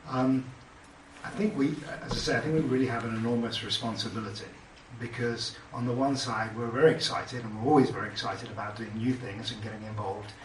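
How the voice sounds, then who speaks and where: A man's voice: medium pitch, fairly even intonation, a little fast. A man with a British accent, a panelist and entrepreneur, speaking hesitantly with many restarts. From Britain. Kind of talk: panel discussion remarks. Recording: echoey room, distant mic, background noise.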